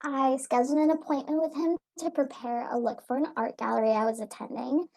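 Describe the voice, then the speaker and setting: A woman's voice: sits high, steady tone, brisk, vocal fry, dark tone, poor audio. A woman playing a chatty, fashion-minded celebrity in an amateur radio play, with a breezy way of talking.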